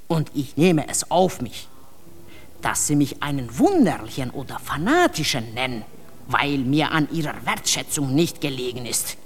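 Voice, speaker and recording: Radio drama: stern religious zealot, low husky delivery, preaching solemnly in a long reverberant hall. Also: female voice, exaggerated voice. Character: devout, stern believer proclaiming religious rules and truth.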